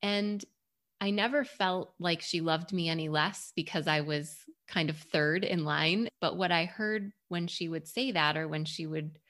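Female podcast host, low register, reflective and articulate, conversational interviewing. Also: measured pace, a bit bright.

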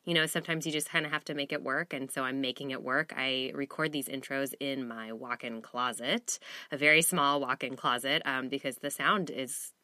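A low-pitched woman with a crisp, bright tone hosts her podcast in a chatty, self-deprecating way. She has a slight cold. The sound is close and dead dry, with no room at all. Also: steady tone, moderate pace, no echo. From Seattle area. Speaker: podcast host. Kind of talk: casual podcast intro and interview. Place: small walk-in closet.

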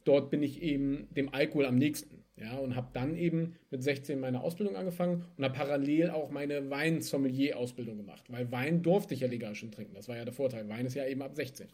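A young man from Germany, a spirits entrepreneur, chatting casually in an interview and telling stories in a lively way with laughs. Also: medium pitch, fairly quick, few pauses, husky.

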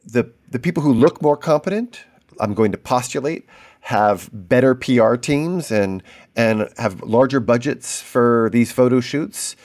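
A man's voice: medium pitch, measured pace. Man posing long probing questions. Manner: probing, builds long hypotheses out loud. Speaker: interviewer host. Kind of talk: interview questions.

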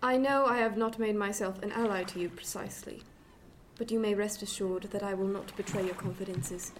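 Actress as proud, guarded period gentlewoman. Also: mid-range voice, fairly even intonation, brisk, background noise, close to an everyday voice. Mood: composed, reserved. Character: proud, guarded wealthy married lady of 1717. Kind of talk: period radio drama acting.

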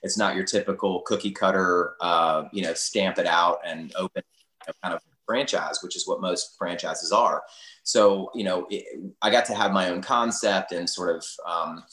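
A man's voice: low voice, fairly even intonation, moderate pace, pushed and bright. A middle-aged man telling stories casually as an interview guest, rambling and often saying "you know", in a roomy, echoing recording. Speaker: restaurateur podcast guest.

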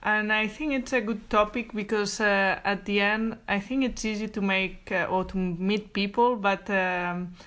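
A woman co-hosting a podcast, speaking hesitantly with many pauses on "uh". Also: medium pitch, a bit bright. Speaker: podcast co-host.

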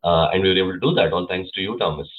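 Deep husky man. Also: racing, narrow, tinny audio. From India. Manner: relaxed, explanatory. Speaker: guest, club co-president. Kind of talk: interview answers.

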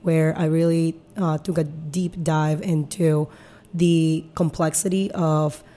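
Low-voiced woman, earnest explainer. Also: little pitch movement, slow pace, warm tone. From Peru. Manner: earnest, explains at length with many fillers. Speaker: guest, company founder. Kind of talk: podcast interview.

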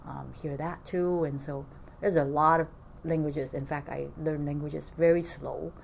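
A woman, speaking haltingly and softly as an interviewee recalling her family's history. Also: low-pitched, fairly even intonation, gravelly, a little hiss or hum. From Vietnam. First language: Cantonese. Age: 40s. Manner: halting, soft-spoken, frequent laughs.

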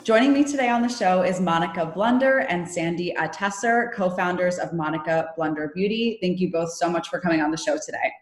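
A woman's voice: on the low side, brisk, few pauses, soft background music. A woman hosting a podcast, reading a polished scripted intro and asking interview questions. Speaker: podcast host.

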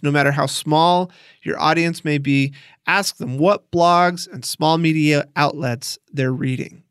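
A man's voice: a little high, fairly bright tone, no room sound, clean studio sound. A man hosting a podcast, reading scripted documentary narration in an instructional way with emphatic signposting. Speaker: podcast host.

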